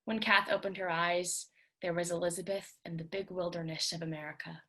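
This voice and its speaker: Low-voiced woman reading literary fiction. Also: fairly even intonation, brisk, bright, forceful tone. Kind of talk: dramatic audiobook-style reading of a novel.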